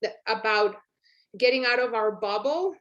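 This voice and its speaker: A woman's voice: fairly high pitch, normal speed, a bit bright, lo-fi sound. A woman hosting an online team call, giving an informal, encouraging motivational talk in a chatty way.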